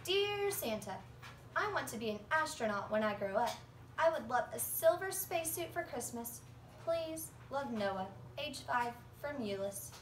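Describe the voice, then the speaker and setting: An eager little kid, read by a young woman in a high, swooping voice, earnest and full of questions. It is a storybook read-aloud, recorded from a distance in a roomy space. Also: very animated, a little slow. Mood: eager, curious. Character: eager, polite young children writing letters to Santa. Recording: roomy, distant phone recording with faint noise.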